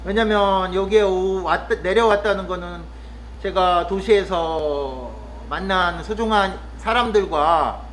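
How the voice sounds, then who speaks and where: A man from Korea's Chungcheong region talking casually and reflectively to the camera, pausing often with fillers as he muses. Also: high voice, a bit bright, a little hiss or hum. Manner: thoughtful, musing, with frequent pauses and fillers. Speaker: vlogger living in the countryside.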